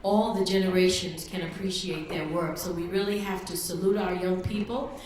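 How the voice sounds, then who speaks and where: A woman's voice: lowish voice, fairly even intonation, normal speed, darkish tone. A woman presenting the next act at a public event. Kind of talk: public introduction. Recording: very echoey hall, distant mic, faint noise.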